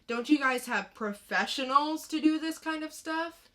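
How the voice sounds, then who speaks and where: A woman's voice: sits high, unhurried, bright, forceful tone, voice pushed up. A woman acting a defiant, streetwise teenage boy, guarded and slangy, in a dramatic comic-book reading. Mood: defensive, incredulous.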